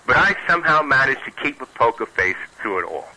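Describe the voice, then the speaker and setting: A man with a Brooklyn accent reads his own comic writing aloud, his voice very bright and sharp. Between readings he chats as a guest, wry and self-mocking, stuttering and restarting when he speaks off the cuff. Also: medium pitch, sing-song, a little fast, a little hiss or hum, low-quality recording. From Brooklyn, New York. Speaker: guest, humorist and stand-up comedian.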